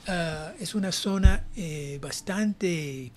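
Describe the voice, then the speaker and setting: Older male professor, American accent, native English speaker, thoughtful interview answers with frequent pauses. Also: fairly high pitch, a little mellow. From United States (New Jersey). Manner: hesitant, reflective, frequent pauses. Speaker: academic guest, university professor.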